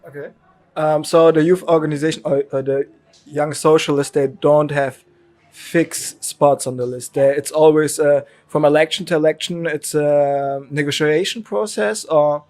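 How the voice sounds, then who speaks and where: A man interviewing a guest, asking questions in a halting way with restarts. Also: a little high, fairly even intonation, a little mellow. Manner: halting, stumbles over questions.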